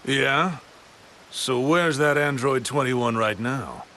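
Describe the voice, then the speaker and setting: A man's voice: mid-range voice, slow, slight background noise. A man voicing a vain, indifferent cat god of destruction, haughty and offhand, in an anime game. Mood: bored indifference. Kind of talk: English anime game dub acting. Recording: loud music behind.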